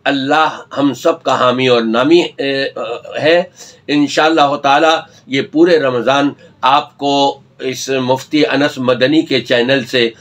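A man's voice: mid-range voice, fairly even intonation, takes it slowly, a bit bright. An older man, an Islamic scholar, talks earnestly to the camera, admonishing and gesturing as he speaks from a study lined with books. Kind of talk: religious talk to camera.

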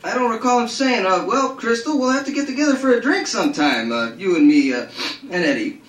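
A big, clumsy card player bragging and stumbling over his words, as a man acts him out, pitched well up and full of uhs. It echoes in a roomy hall and is caught from some distance. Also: big pitch swings, brisk, fairly bright tone. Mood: flustered eager. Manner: stammering, full of uhs, eager. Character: clumsy, boastful male card player, flustered.

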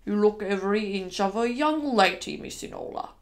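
Woman putting on a measured, courteous manservant. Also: mid-range voice, expressive, gravelly. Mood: proud, formal. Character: courteous, formal male Victorian household servant.

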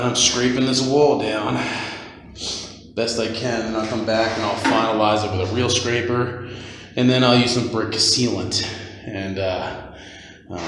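A man's voice: on the low side, a bit bright. A man casually narrating his own painting work as a handyman, talking off the cuff in an informal, rambling way. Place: small empty concrete basement room. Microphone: handheld phone or camera held by speaker. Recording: very echoey bare room, background noise.

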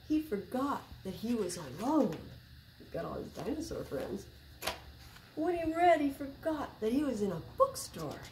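A woman in her sixties reads to children in an unhurried, expressive way. Her voice is soft-edged and mellow at a medium pitch. She is recorded a few feet from the camera in an echoing indoor room with faint background noise. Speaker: library storyteller. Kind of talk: picture book read-aloud for children. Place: small public library room, indoors.

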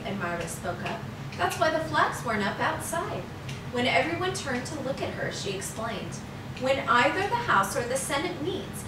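Female storyteller, lively melodic read-aloud for kids, recorded far from the mic in a roomy space. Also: high-pitched. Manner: expressive storytelling, voicing dialogue. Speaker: second-grade teacher reading aloud. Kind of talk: children's chapter book read-aloud. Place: living room at home. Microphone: far camera. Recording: roomy, distant microphone, noisy background.

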